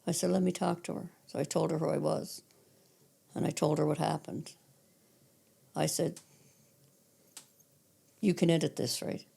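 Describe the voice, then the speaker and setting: A hoarse older woman from Cape Breton, her raspy voice full of gravel and sitting a touch low. She recalls names and details from decades back in a steady, matter-of-fact way, like a retired dispatcher sharing stories with family. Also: a little slow, long pauses. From Cape Breton Island, Canada. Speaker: guest, retired 911 dispatcher. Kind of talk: casual storytelling interview.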